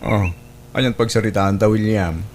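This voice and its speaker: A man's voice: fairly low pitch, steady tone, moderate pace, a little mellow, slight background noise, lightly acted. A man with an Ilocano accent playing a concerned, sensible co-worker in an audio drama. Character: concerned, sensible co-worker advising a straying friend.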